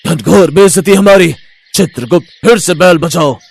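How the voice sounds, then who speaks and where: A man's voice: on the high side, moderate pace, gravelly. A man voicing a stern, formal god of death who gives patient but insistent commands in a moral story. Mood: commanding. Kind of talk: animated Hindi moral-story voice acting.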